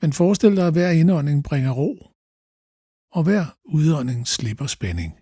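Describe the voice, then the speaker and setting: A man guides a meditation slowly and calmly, giving gentle instructions with long pauses. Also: on the high side, slightly rough, no room sound, clean studio sound.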